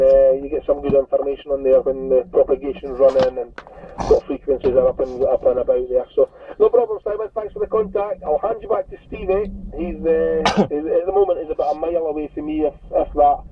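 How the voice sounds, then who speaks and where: A man's voice: medium pitch, fairly even intonation, fairly quick. Older man on a tinny CB radio. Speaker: CB radio operator. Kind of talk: casual CB radio chat. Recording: tinny noisy CB radio transmission, distant.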